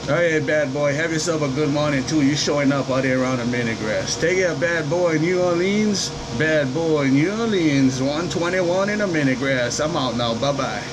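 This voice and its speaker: A man with a slightly high voice works a CB radio. He repeats call signs and slangy sign-offs as he chats. Loud vehicle and air-conditioning noise runs behind him throughout. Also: moderate pace, hardly any pauses. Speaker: CB radio operator. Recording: very noisy, engine and air-conditioning hum.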